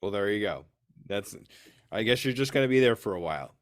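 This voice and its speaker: A man's voice: lowish voice, lively intonation, fairly quick, no room sound, clean studio sound. A man hosting a podcast interview in a casual, informal, slightly rambling conversational way.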